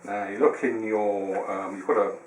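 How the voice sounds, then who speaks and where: A man's voice: fairly low pitch, level tone, moderate pace. A man presenting a casual how-to demonstration, explaining step by step in a hesitant way. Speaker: tutorial presenter. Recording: roomy, distant mic, faint noise.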